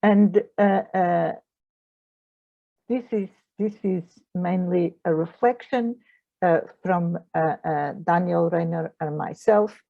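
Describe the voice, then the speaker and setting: A woman's voice: on the low side, vocal fry, narrow, tinny audio. A middle-aged woman from Portugal with a Portuguese accent, speaking English as a second language while giving an online lecture as a mediator and trainer, with frequent hesitations and non-native phrasing.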